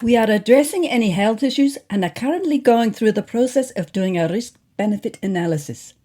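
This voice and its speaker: A woman's voice: on the high side, animated, brisk, warm tone, voice pushed up. A woman voicing an earnest, stubborn female activist cat in a storybook, with an affected Nordic accent. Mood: defiant.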